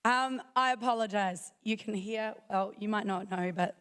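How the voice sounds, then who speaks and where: A woman with a croaky, failing voice giving a chatty, self-joking conference talk. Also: mid-range voice, normal speed, a bit bright. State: croaky, losing her voice. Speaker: conference speaker. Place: conference hall.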